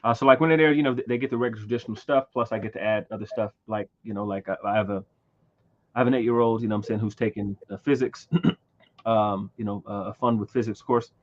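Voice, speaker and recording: Halting thirty-something man, low voice. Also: fairly even intonation, dull audio. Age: 30s. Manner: halting, many repeated words and fillers. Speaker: livestream host. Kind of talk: casual livestream chat.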